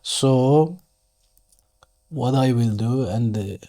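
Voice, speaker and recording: Turkish guy walking thru a software tutorial, really slow, stop and start, mid pitch, fixes himself as he talks. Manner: halting, self-correcting, apologetic, thinking aloud. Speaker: engineering software tutorial instructor. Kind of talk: unscripted screen-share tutorial, step-by-step explanation.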